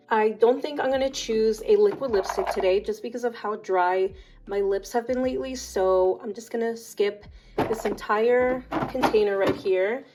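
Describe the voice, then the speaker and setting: Hoarse woman chatting through a makeup vlog. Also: mid-range voice, noisy background. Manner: chatty, relaxed, picking products aloud. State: hoarse from a cold. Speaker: beauty vlogger.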